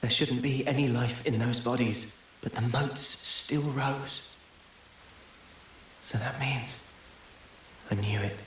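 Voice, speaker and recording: A man playing an earnest, thoughtful young male soldier in a game cutscene, measured and resolute. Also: mid-range voice, steady tone, slight background noise, close to an everyday voice, poor audio. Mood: grave. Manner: measured, deliberate. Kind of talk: video game cutscene acting.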